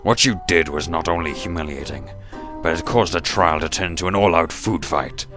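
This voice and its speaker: A man's voice: low voice, moderate pace, over music, lightly acted. A man acting a pompous, stern and irascible village mayor in a fan radio play, with formal, sarcastic scolding.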